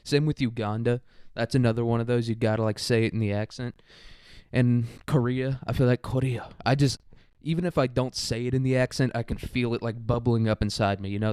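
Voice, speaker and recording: A young American man with a clear, smooth voice talks in a very dry-sounding space. He rambles through a casual comedic podcast monologue with a crude, irreverent edge. His pitch sits in an ordinary middle range for a man. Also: darkish tone, dry recording. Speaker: solo podcast host.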